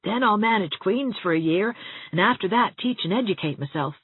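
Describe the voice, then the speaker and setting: A woman's voice: medium pitch, expressive, moderate pace, breathy edge, dry recording, phone-quality sound, pitched above the performer's own voice. Woman voicing an earnest, grateful teenage schoolboy.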